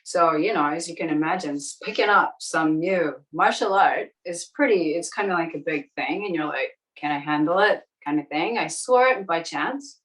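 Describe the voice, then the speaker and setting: A woman in her forties from New Zealand, her voice low and her accent only faint. She chats in a relaxed, easy way and laughs readily. She sounds a little distant from the microphone. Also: moderate pace, few pauses. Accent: light New Zealand. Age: 40s. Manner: relaxed, chatty, laughs easily. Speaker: interview guest. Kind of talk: casual interview chat. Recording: distant from the mic.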